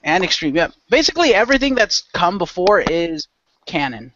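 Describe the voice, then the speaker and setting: A man's voice: sits high, sing-song, a little fast, a bit bright, a little hiss or hum. A man hosting and narrating a role-play show as game master in a loose, informal way. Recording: dull lo-fi close microphone.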